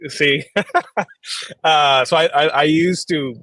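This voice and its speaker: A man in his thirties, a company founder, answering questions in a casual video call interview, halting and restarting often and switching between English and Spanish. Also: fairly high pitch, dry recording. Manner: relaxed, halting, many fillers and restarts. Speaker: founder and creative director, guest.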